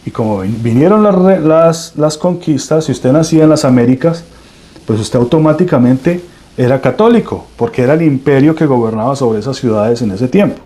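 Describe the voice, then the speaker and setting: A man with a Colombian accent delivers a sermon, his voice mellow and dark in tone. He preaches with emphatic swells and often restarts his phrases mid-sentence. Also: medium pitch, expressive, normal speed. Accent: Colombian Spanish.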